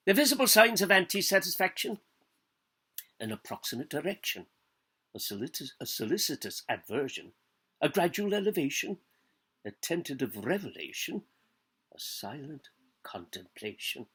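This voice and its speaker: Theatrical man reading with very lively pitch. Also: breathy edge. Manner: declamatory, deliberate, dwelling on lists and pausing between phrases. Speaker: actor giving a public literary reading. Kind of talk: theatrical reading aloud of literary prose.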